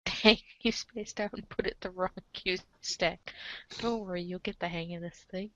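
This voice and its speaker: A woman's voice: lowish voice, steady tone, moderate pace, fairly bright tone, no room sound, rough recording. A woman reading a comic aloud as the show's narrator, theatrical and playful, slipping into casual asides. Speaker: narrator of a comic read-along show.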